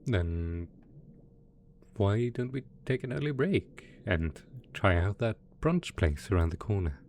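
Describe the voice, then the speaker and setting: A deep-voiced mad scientist supervillain, acted by a man for an ASMR roleplay. He is possessive and doting, coaxing softly with a sweetly sinister manner. Some background noise sits behind the voice. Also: very animated, takes it slowly, full character voice. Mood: cheerful, attentive. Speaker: voice actor in audio roleplay.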